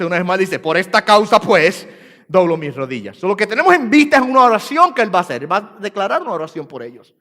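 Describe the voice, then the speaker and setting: Puerto Rican male pastor, high-pitched, emphatic repeating delivery, long church reverb. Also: sharp tone. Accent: Puerto Rican Spanish. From Puerto Rico. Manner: repeats phrases for emphasis, rising and falling in force. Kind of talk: sermon. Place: church sanctuary.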